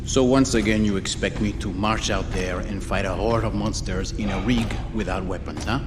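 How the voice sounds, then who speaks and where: An actor playing a haughty, sarcastic, indignant contract pilot in a video game. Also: male voice, fairly low pitch, fairly even intonation, normal speed, big-room sound, very noisy, natural voice. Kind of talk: video game cutscene acting.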